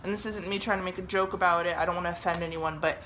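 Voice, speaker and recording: A woman's voice: on the low side, racing, slight background noise, poor audio. A young woman from Germany telling a story in a casual, chatty way, swearing often. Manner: chatty, swears often, lively re-enacted quotes.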